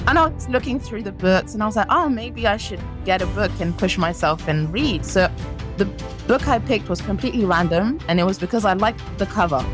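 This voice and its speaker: A woman's voice: mid-range voice, lively intonation, normal speed, over music. A nineteen-year-old woman, a native French speaker from France, chatting as a podcast guest, laughing often and using lots of ums. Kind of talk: casual interview chat.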